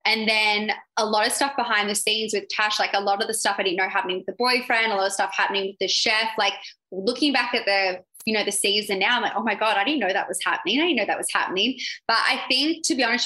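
Bright-voiced chatty young woman. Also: mid-range voice, fast talker, poor audio. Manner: chatty, lots of "like" fillers. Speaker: guest, yacht crew member. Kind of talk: casual interview chat.

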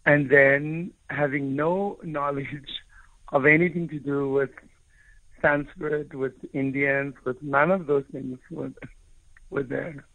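A South African man, Afrikaans by birth, recounting his own experiences in an earnest, searching way. His voice sits a little high and has a gravelly edge. It comes over a thin, phone-like line with no room sound. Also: dry recording. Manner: earnest, searching for words, frequent restarts. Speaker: author and guest. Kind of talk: reflective personal storytelling.

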